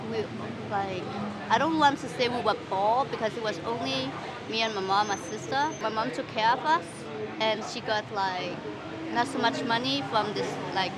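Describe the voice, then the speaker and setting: A woman in her thirties from Denmark, answering interview questions in a hesitant, casual way with many fillers and restarts. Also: fairly high pitch. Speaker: interview guest. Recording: street recording with clear background noise.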